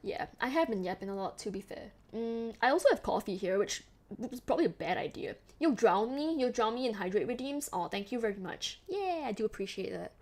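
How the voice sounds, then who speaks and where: Chatty Singaporean woman streamer, bright pitch. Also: sing-song, normal speed. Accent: Singaporean, softened toward Western English. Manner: chatty, rambling, laughs often, many fillers. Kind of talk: casual chat with live chat.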